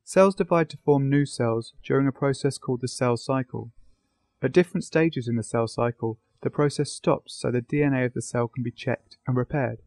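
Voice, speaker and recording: A man's voice: mid-range voice, moderate pace, gravelly, a little mellow, no room sound, clean studio sound. A man narrating a science video from a script as interviewer and presenter.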